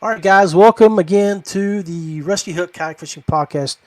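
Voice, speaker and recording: A man's voice: high-pitched, normal speed, a little mellow, no echo. An American man hosting a live podcast in a casual, informal way. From United States, West Virginia. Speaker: podcast host.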